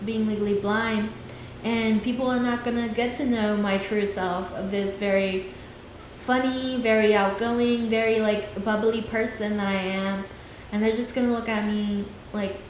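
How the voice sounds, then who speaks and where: A woman's voice: medium pitch, steady tone, unhurried. A young woman in her twenties talks alone on her podcast, earnest and loosely rambling, with lines that trail off into filler phrases. Her voice sits in a very echoey room, with a faint hiss underneath. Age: 20s. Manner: earnest, rambling, frequent "things like that". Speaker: podcast host. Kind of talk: casual solo podcast talk.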